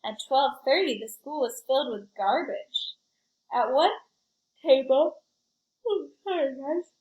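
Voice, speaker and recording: A woman reading a storybook aloud in an expressive, child-directed way, with chatty asides to her young listeners. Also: high voice, slow, roomy sound, highs cut off. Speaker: school librarian reading aloud.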